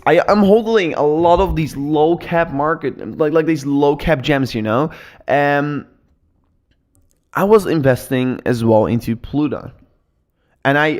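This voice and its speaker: A young man hosting a live cryptocurrency stream in casual chat, speaking English as a learned second language, excitable and full of repeats and restarts. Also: fairly high pitch. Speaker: crypto livestream host.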